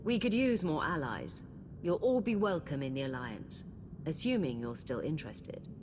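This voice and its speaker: A principled commander in a video game. Her voice is low with lively intonation, firm and direct, in a reverberant space. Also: moderate pace, music in the background. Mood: resolute.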